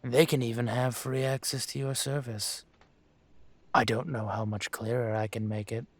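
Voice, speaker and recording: A man playing a dragon mafia boss in an audio roleplay, speaking with measured, condescending menace and smug control. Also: mid-range voice, flat delivery, no room sound, natural voice. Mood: smug, amused. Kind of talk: scripted roleplay monologue to the listener.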